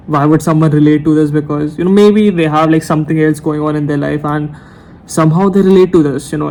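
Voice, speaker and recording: A man's voice: a little high, dark tone, slight background noise, rough recording. A nineteen-year-old man talking to the camera late at night without a script, rambling and hesitant, often correcting himself. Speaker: YouTuber. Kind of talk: unscripted talk to camera. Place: room at night. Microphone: camera mic.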